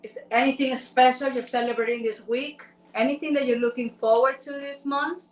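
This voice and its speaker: A woman chats warmly and directly to livestream viewers. Her voice sits fairly high. She is heard from a distance in an echoey room. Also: steady tone, normal speed. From Ecuador. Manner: chatty, affectionate, addresses viewers directly. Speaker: livestream co-host. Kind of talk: casual livestream chat.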